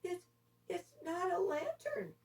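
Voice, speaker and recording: Young storybook reindeer, read by a woman: shy, earnest, eager to help, raised pitch, mic some way off. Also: big pitch swings, slow pace, darkish tone, roomy sound, exaggerated voice. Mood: timid, trembling. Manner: earnest, hesitant. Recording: distant microphone.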